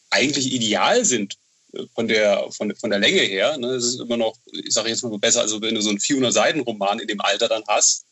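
A man's voice: mid-range voice, a bit bright, highs cut off. A man from Bonn in western Germany chatting enthusiastically as an interview guest, often adding tag questions to seek agreement. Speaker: interview guest, novel author. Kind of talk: casual reminiscing interview.

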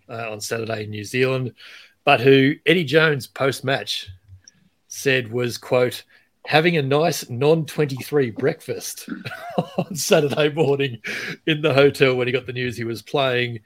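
Male podcast host, upbeat and chatty, mid-pitched voice, trails off quietly at the ends of phrases. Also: measured pace. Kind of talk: casual podcast chat with scripted reads.

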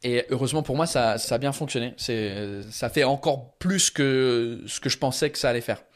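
A man's voice: mid-range voice, normal speed. A man in his thirties, a comedian speaking a language that is not his first, answering interview questions casually with many fillers and restarts. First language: not French. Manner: hesitant, many fillers and restarts, drops English phrases. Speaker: stand-up comedian, guest.

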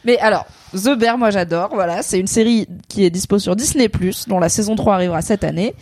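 A woman's voice: mid-range voice, fairly quick, darkish tone, no echo. Chatty woman, dry close sound. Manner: talkative, explains at length with many fillers. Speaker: podcast host. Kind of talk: casual pop-culture chat.

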